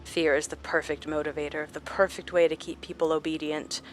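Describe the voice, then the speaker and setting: A woman voicing a sadistic male doctor who savors fear, speaking slowly and in a hushed, gloating way in a horror audio drama. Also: low voice, flat delivery, a little hiss or hum, natural voice.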